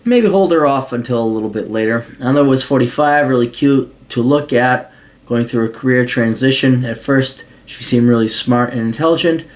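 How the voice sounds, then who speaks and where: A man's voice: mid-range voice, moderate pace. A man with an American accent hosting a podcast and reading out and answering a listener's letter, talking bluntly with plenty of swearing. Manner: blunt, swears often, mocking voices for asides. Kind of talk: casual profane advice monologue.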